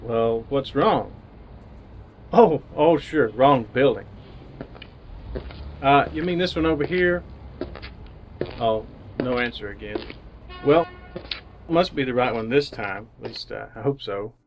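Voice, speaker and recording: An actor playing an awkward, lonely city bachelor in an old-time radio drama, halting and bemused as he talks and narrates. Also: medium pitch, animated, soft background music, low-quality recording.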